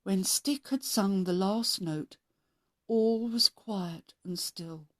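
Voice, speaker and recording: A woman narrating a children's story as a read-aloud host, expressive and measured in her delivery. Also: medium pitch.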